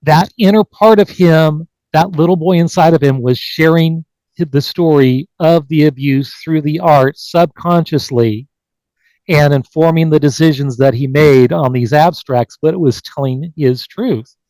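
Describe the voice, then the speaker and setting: A man's voice: fairly high pitch, measured pace, darkish tone, no room sound, low-quality recording. A man hosting a live online panel in a conversational way, speaking over a video call. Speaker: podcast host.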